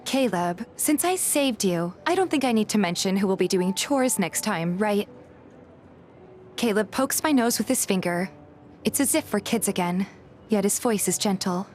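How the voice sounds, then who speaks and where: A woman's voice: medium pitch, lively intonation, moderate pace, darkish tone, a little hiss or hum. A woman reading a scripted first-person story as narrator and voice actor in a fan dub.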